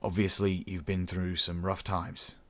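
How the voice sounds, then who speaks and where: Sci-fi audiobook hero, acted by a man, Australian man, blunt, awkward, informal, low-pitched. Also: level tone, a little slow, fairly bright tone, dry recording.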